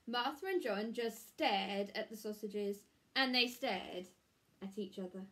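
A woman's voice: mid-range voice, a little slow, fairly bright tone. A woman in her thirties reading a children's picture book expressively, like a primary school teacher, in a small room at home.

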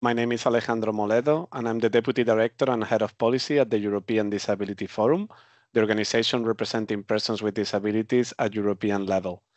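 Spanish-born guy with a headset, low voice, dry sound, deliberate. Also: fairly even intonation, dry recording. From Spain. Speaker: policy director. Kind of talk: prepared statement.

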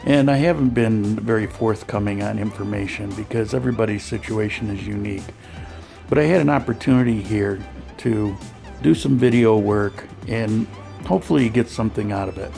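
Gravelly-voiced man narrating his vlog. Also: fairly low pitch, normal speed, faint music behind. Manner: relaxed, conversational, with frequent fillers and restarts. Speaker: vlogger. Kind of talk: voice-over narration of a clinic visit.

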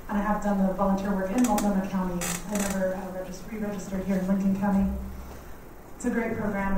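A woman in her thirties with a quiet, slightly scratchy voice gives a friendly, chatty talk in a lecture hall, laughing often. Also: mid-range voice. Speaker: guest speaker on native bees. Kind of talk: community lecture. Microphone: standing mic heard from a distance. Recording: echoey hall, mic far off, faint noise.

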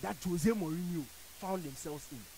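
A man's voice: high voice, moderate pace, warm tone, slight background noise, rough recording. A man with a Ghanaian accent, arguing football as a panelist on a radio studio show, emphatic and theatrical. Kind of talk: animated studio debate. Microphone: studio boom mic with headphones.

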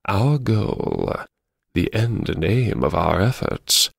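A genteel gentleman diarist, acted by a man for a dramatic audiobook, confessing with wry, mannered politeness. His low voice is creaky and husky. He hesitates formally, with wide swoops in intonation. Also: no room sound, studio quality, exaggerated voice. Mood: solemn. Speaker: audiobook narrator. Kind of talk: first-person dramatic audiobook narration.

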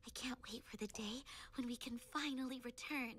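A woman playing a gentle, caring, earnest young girl in an animated drama, with a soft manner. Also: a little high, brisk. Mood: wistful, tender.

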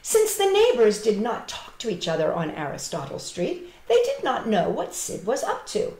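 Animated high-pitched woman children's storyteller. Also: moderate pace, a little hiss or hum. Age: middle-aged. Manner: animated, exclaiming, addressing children directly. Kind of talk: live storytime for young children. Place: small living room with couch, indoors. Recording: roomy webcam sound.

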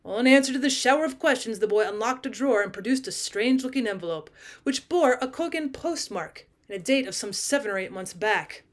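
A woman reading a classic short story aloud as scripted narration, expressive and deliberate, with emphasis on key phrases. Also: a little high. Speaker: teacher reading audiobook.

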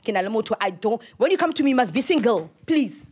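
A woman's voice: on the high side, sing-song, fast talker, a little mellow, husky. A woman in her early thirties with a South African accent, chatting casually as a guest in an emphatic, exclamatory way. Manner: emphatic, exclamatory, repeats phrases. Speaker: podcast guest. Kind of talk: casual chat. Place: indoor lounge, on a sofa. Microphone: handheld microphone.

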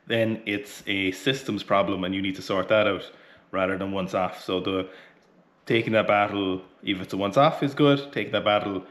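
A man's voice: fairly low pitch, fairly bright tone. A man in his thirties with an Irish accent, chatting bluntly about weightlifting between sets in a small home gym, sweaty from training. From Ireland. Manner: blunt, chatty, swears casually. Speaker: weightlifting coach. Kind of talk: casual training vlog talk. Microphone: camera close in front.

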